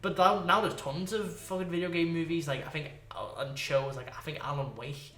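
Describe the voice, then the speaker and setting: A man with a somewhat high voice co-hosts a casual podcast. He talks in an excitable, rambling way with plenty of swearing. The room around him is clearly echoey. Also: moderate pace. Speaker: podcast co-host.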